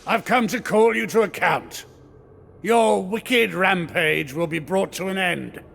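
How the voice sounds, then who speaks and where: An actor playing a proud, defiant old battle wizard in a game, stern and declamatory. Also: male voice, high-pitched, takes it slowly, vocal fry, a bit bright, slight background noise, exaggerated voice. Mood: stern defiance. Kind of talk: video game cutscene acting.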